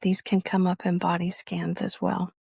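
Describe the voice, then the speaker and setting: A woman teaching guided meditation in a measured, instructional way, leaving long pauses between phrases. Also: lowish voice, monotone, gravelly, warm tone, breathy edge, no room sound, studio quality.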